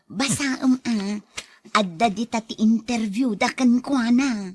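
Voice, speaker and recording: A brusque older Filipino auntie, played by a woman in a radio drama. Her voice is husky and fairly high, the sound of a babysitter who would rather be left to her shows. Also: a little slow, no echo. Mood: brusque, offhand.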